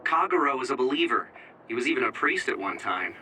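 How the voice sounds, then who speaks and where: An actor in an English anime game dub playing an easygoing young operator who directs a platoon over headsets. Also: male voice, mid-range voice, big pitch swings, a little fast, sharp tone, distant mic, a little hiss or hum, rough recording. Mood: casual, explaining. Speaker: mission operator.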